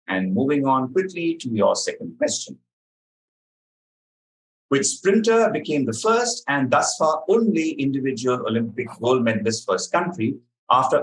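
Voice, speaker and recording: A man presents a quiz as its question reader. He speaks in a measured, articulate voice, setting out clues and explanations for the contestants. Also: medium pitch, low-quality recording. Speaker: quiz master.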